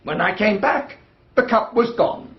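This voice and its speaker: A man's voice: high-pitched, animated, unhurried, echoey room, lo-fi sound. A man playing a defensive, haughty British husband under suspicion in a radio drama, clipped and indignant. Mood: guarded. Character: stiff, defensive, haughty husband suspected of poisoning. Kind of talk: formal radio drama dialogue.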